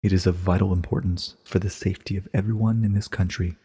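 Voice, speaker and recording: A man acts out an ancient, regal pony queen in a storybook reading. The voice is low and husky, measured and solemn, with a guarded calm. A long echo hangs on it. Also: exaggerated voice. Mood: solemn, grave. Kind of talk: dramatic reading of a short story.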